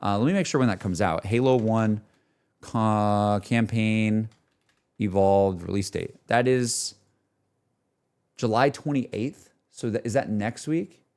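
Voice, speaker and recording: A man's voice: fairly low pitch, takes it slowly, darkish tone. Casual man rambling candidly on a livestream. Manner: rambling, candid, thinking aloud. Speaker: game streamer talking to chat. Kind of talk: casual livestream chat, unscripted.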